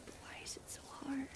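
A woman voicing a gentle, lonely, eerie forest spirit in a breathy, whispered ASMR roleplay, slow and wistful. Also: close to an everyday voice.

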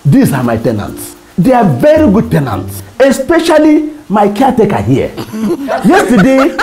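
A woman's voice: fairly high pitch, wildly swooping pitch, moderate pace, warm tone, faint music behind. A woman with a Nigerian accent playing a gleeful landlord who teases tenants with effusive praise in a comedy drama. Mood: gleeful, teasing.

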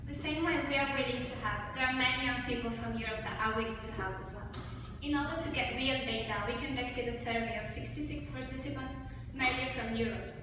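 A woman's voice: fairly high pitch, fairly quick. A young woman from Spain giving a rehearsed student presentation, recorded from far off in a large hall. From Spain, originally Morocco. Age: young adult. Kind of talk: rehearsed presentation of survey results. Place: large hall with seated audience. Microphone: far audience camera. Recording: echoey hall from far off, room noise.

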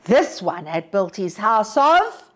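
A woman storyteller reading a rhyming children's story aloud, dramatic and at times hushed. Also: medium pitch, sing-song, slow.

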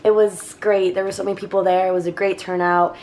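A woman's voice: mid-range voice, level tone, quick pace, a little mellow. A young woman in her twenties chatting casually in a food blog vlog, upbeat and full of "um"s, filmed on a phone at arm's length in her apartment. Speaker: food blogger. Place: small apartment, indoors. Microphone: phone camera held at arm's length.

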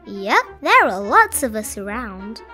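A woman's voice: on the high side, sing-song, unhurried, pushed and bright, soft background music, heavily acted. A woman voicing a hearty, folksy female rabbit guitarist in a children's storybook. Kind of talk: animated children's storybook read-aloud.

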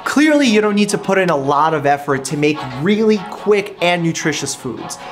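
A man's voice: high voice, animated, moderate pace, faint music behind. A young man in his twenties, a doctor hosting a casual food vlog from his home kitchen, explaining health benefits in an upbeat, easy way. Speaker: doctor and channel host.

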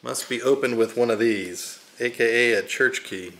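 A man's voice: mid-range voice, normal speed, sharp tone. A man behind the camera, teasing and needling in casual banter in a home video. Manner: joking, needling. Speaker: camera operator. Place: open living room and kitchen at home. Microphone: behind the handheld camera.

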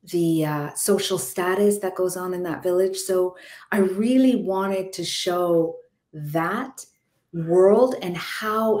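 A woman from Jamaica talks emphatically, often circling back for the right word. Her voice sits fairly low. The webcam catches a noticeably echoey room. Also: a little mellow. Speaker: filmmaker panelist. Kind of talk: panel discussion on video call. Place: home room indoors. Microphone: webcam video call.

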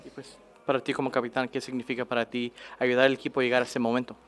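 A man's voice: medium pitch, little pitch movement, very fast. A man working as a reporter, asking post-match questions piece by piece, with faint room noise.

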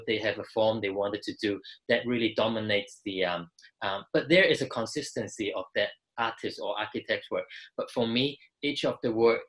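Low-voiced architect, halting. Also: male voice, fairly bright tone, rough recording. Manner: halting, many fillers. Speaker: guest architect. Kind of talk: video call panel talk. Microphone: video call.

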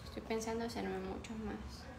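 A woman's voice: fairly high pitch, little pitch movement, normal speed, creaky, background noise. A young woman from Ecuador chatting casually with viewers on a livestream, reading out their names and answering them. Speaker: livestream host. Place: small room indoors. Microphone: phone on a ring light stand.

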